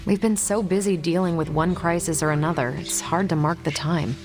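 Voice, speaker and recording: An actress playing a confident, easygoing American superhero in a video game, with a wry, casual manner. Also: female voice, fairly low pitch, steady tone, brisk, over music, natural voice. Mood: candid, casual. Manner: wry, casual, self-assured. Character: confident, easygoing superhero woman, ex-Air Force pilot. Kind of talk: video game dialogue acting.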